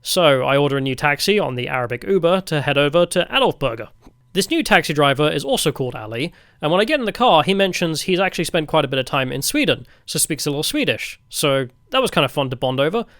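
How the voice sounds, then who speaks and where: Male travel vlogger, somewhat high-pitched, wry casual storyteller, very dry recording. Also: big pitch swings, fast, a bit bright, dry recording.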